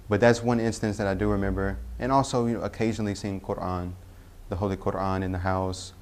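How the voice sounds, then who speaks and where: A young man from Tennessee, telling his personal faith story in a halting way, with frequent pauses and self-corrections. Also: low voice, level tone, darkish tone, slight background noise. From Tennessee, US Southern. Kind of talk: personal testimony.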